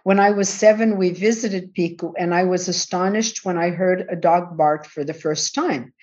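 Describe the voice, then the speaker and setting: Low-voiced woman reading a talk. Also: a little mellow. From Azores, raised in California. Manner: measured, reflective, bilingual delivery. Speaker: conference panel speaker. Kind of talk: reading a prepared paper. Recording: dull lo-fi audio.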